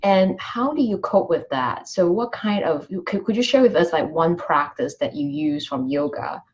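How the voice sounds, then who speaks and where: A woman's voice: fairly low pitch, moderate pace, darkish tone. A woman hosting an interview, asking questions hesitantly with many fillers and restarts. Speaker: host, interviewer. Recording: dull, muffled lo-fi sound.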